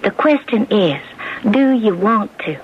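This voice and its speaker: A woman's voice: medium pitch, animated, unhurried, a little hiss or hum, close to an everyday voice. A woman acting in a radio drama as a kind, shrewd American lunch counter owner, frank and down-to-earth. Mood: curious, probing. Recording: muffled old radio broadcast.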